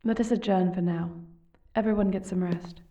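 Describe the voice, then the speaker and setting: A woman playing a tender, regal dragon queen in a romantic audio roleplay, with soft, formal and affectionate delivery. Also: lowish voice, fairly even intonation, moderate pace, highs cut off, background noise, natural voice. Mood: weary, formal. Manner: soft, formal phrasing, affectionate, often breathy. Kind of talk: romantic audio roleplay, intimate scripted acting.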